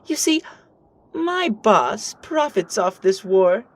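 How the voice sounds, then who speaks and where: Woman acting a taunting villainess, theatrical, swooping pitch, unhurried, condescending, cartoon battle style. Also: big pitch swings. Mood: smug, gloating. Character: smug, taunting female villain henchwoman. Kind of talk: amateur animated action voice acting.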